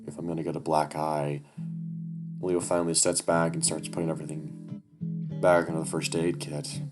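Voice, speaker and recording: A man's voice: rumbling low, flat delivery, moderate pace. A man reading a story game aloud and commenting on it, swearing often and restarting his sentences. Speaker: gamer playing a story game. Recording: music playing clearly behind.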